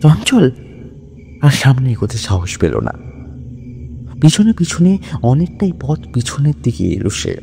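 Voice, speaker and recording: A man narrating scripted horror stories in a suspenseful, deliberate way, with dramatic pauses between phrases. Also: mid-range voice, vocal fry, mellow, a little hiss or hum.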